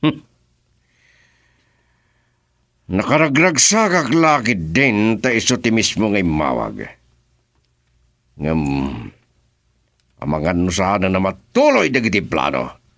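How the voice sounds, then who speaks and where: An actor playing a scheming, menacing male boss in a radio drama, with a slow, mocking way of talking. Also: mid-range voice, fairly bright tone. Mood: angry. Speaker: boss on a phone call.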